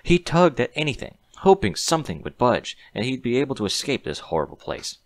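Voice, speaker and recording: A man's voice: mid-range voice, very animated, fairly quick, dry recording. A man narrating a scripted story expressively on a close mic.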